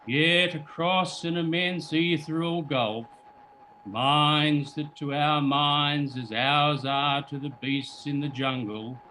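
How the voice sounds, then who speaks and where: Man acting a slow, solemn radio narrator. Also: fairly high pitch, fairly even intonation, sharp tone, slight background noise, low-quality recording. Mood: solemn, ominous. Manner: solemn, measured, deliberate. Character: grave, solemn male radio narrator of an alien invasion. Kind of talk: 1938 radio play reading, slow dramatic narration.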